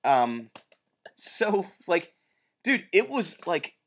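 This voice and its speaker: A young man with a loud, booming voice, telling a long story in casual chat, rambling, with constant "like" and "dude" fillers. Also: very animated, drawn-out words. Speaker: co-host recounting a bookstore event.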